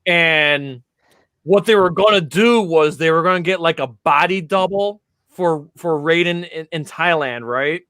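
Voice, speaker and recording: A man chatting on a podcast, rambling eagerly through anecdotes with lots of fillers. His voice sits a little high, with a bright, forward ring. The sound is dry and close. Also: a little slow, no echo. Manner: enthusiastic, rambling storyteller with many fillers. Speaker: podcast co-host. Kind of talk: casual podcast chat.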